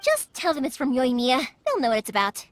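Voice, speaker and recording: A woman's voice: sits high, wildly swooping pitch, brisk, no echo, soft background music. A woman voicing a cheerful young fireworks maker, upbeat and encouraging, in game voice acting.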